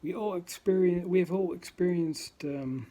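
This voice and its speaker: A man's voice: high-pitched, normal speed, dark tone. A man from the Netherlands with a Dutch accent, talking to camera in his first vlog, hesitant and full of ums and uhs as he searches for words. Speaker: vlogger. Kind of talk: unscripted talk to camera.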